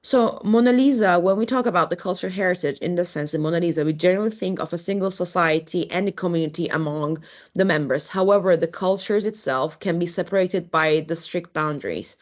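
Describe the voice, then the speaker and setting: A young adult woman from Turkey, giving a university lecture as an architect, speaking in a halting, conversational way with frequent restarts. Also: lowish voice. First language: Turkish.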